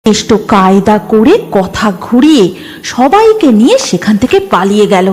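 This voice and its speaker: A woman's voice: medium pitch, big pitch swings, moderate pace, darkish tone. A woman narrating a scripted horror story with background music.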